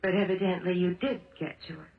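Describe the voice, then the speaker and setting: A woman's voice: on the low side, steady tone, fast, close to an everyday voice. Woman acting concerned determined wife, direct questioning, 1970s radio drama, roomy lo-fi recording. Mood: probing, sympathetic. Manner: direct, probing, earnest. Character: determined, concerned married woman questioning her old flame. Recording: roomy, dull old radio broadcast.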